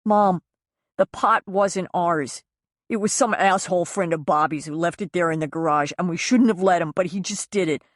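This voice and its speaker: A woman's voice: fairly low pitch, normal speed, dry recording, clean studio sound, lightly acted. A woman voicing an ashamed young adult son who pleads with his mother, his voice choked with tears. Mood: tearful.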